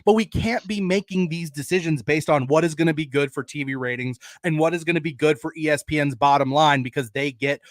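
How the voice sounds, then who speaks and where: A man's voice: a little high, fairly quick, hardly any pauses, no room sound, studio quality. A man co-hosting a sports podcast, arguing emphatically about college football in casual, sometimes profane language. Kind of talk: opinionated college football debate.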